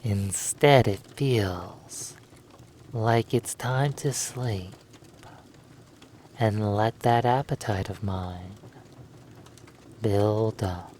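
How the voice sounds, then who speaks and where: A man voicing a calm, commanding vampire with a slow, formal, menacing manner in a hypnosis roleplay. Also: lowish voice, slight background noise. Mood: languid, hungry. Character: predatory, commanding male vampire stranger, hungry and calm. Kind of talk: hypnosis roleplay audio acting.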